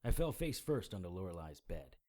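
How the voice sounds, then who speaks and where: An actor playing a hard-boiled, sardonic occult investigator in a noir audio drama, blunt and world-weary. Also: male voice, sits low, big pitch swings, a little fast, mellow. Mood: wry narration.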